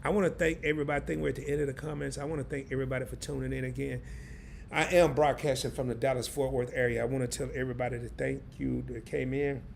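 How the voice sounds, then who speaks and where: A hoarse man hosting a live stream, chatting loosely and in slang straight to his viewers. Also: medium pitch, level tone, quick pace, slight background noise. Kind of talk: casual live stream chat.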